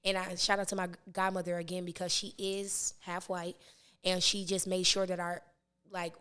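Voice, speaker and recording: Raspy young woman chatting loosely. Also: on the low side, flat delivery, normal speed, bright, forceful tone. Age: 20s. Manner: rambling, many fillers and restarts. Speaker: rapper and podcast guest. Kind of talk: casual interview chat.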